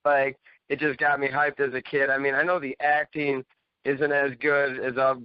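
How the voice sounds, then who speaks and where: Smooth-voiced man chatting casually, muffled audio. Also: mid-range voice, level tone, moderate pace, no echo. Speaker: returning panel guest. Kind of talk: casual fan chat.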